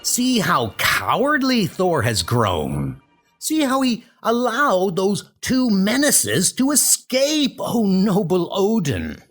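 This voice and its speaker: A man's voice: sits high, huge pitch swings, slow pace, a bit bright, soft background music, voice pushed up, full character voice. A man voicing a scheming male god of mischief, sly and theatrical, in a comic-book dub. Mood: mock outrage.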